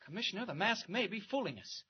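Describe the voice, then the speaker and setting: Brisk, high-pitched 1940s radio hero. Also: male voice, animated, slightly rough, exaggerated voice. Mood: thoughtful, resolute. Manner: brisk, earnest, decisive. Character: brave, quick-thinking man, reporter who is secretly a superhero. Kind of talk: 1940s radio serial acting. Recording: muffled, noisy 1940s radio recording.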